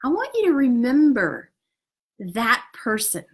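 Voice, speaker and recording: A woman with a fairly high, stuffed-up voice that comes out a little nasal. She speaks outdoors as a spiritual coach. Her talk is earnest and encouraging, dotted with "you know" and "right?". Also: wildly swooping pitch, measured pace, slightly rough. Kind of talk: casual motivational talk to an online group.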